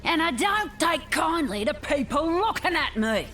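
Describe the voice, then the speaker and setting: A woman's voice: high-pitched, sing-song, a little slow, fairly bright tone, full character voice. A woman voicing a brash, fearless young warrior heroine who talks back defiantly. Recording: game music behind.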